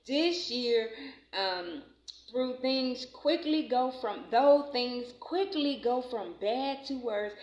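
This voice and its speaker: Woman, 40s, from Chicago, chatty vlog book reviewer, halting reading, slightly high voice, echoey muffled recording. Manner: rambling, reads aloud haltingly with many ums. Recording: echoey room, muffled lo-fi with faint noise.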